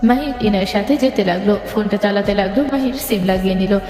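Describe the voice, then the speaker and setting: Casting: female story narrator, medium pitch, reverberant sound with clear music underneath. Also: fast talker, mellow.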